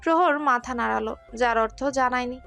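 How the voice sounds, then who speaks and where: A woman's voice: on the high side, moderate pace, gravelly, a bit bright, soft background music. A woman narrating a scripted romantic story.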